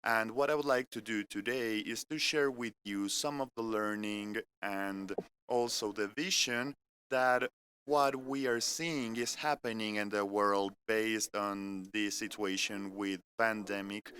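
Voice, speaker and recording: A man from France with a French accent, speaking in English as he gives a measured, earnest business presentation that moves point by point through an agenda. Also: lowish voice, sharp tone. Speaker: corporate keynote speaker. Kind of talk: live-streamed business presentation. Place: company innovation center studio.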